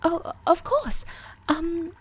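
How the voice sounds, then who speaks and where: A woman playing a small, sweet, timid moth girl in an ASMR fantasy roleplay, breathy and hesitant, politely stammering. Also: expressive, very slow, full character voice. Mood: nervous, hopeful. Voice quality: high, breathy.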